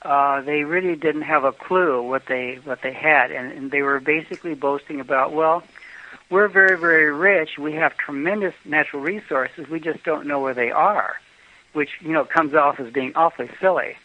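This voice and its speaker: A man's voice: on the high side, normal speed. An older American man telling travel stories in a casual interview, rambling with many fillers and restarts. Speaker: travel guest. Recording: dull lo-fi podcast audio.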